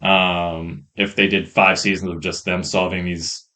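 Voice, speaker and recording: A man co-hosting a podcast, chatting casually in a rambling, hesitant way about what he has watched, on a rough microphone. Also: sits low, flat delivery, unhurried, pushed and bright. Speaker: podcast co-host reviewing films and shows. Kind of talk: casual chat. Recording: rough podcast mic audio.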